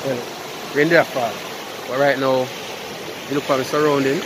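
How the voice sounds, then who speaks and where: Young man, Jamaican accent, rambling casual vlog talk, phone at arm's length, clear outdoor noise. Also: mid-range voice, level tone, moderate pace. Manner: loose, chatty, rambling. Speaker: vlogger. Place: outdoors by a river cove. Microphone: phone camera at arm's length. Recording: noisy outdoor phone recording.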